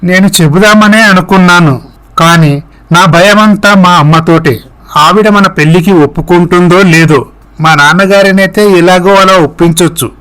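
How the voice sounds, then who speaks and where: An earnest young man in love voiced by a male storyteller, pleading and reassuring in a moral tale. His voice is slightly high for a man. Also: moderate pace, fairly bright tone, clean tone, poor audio.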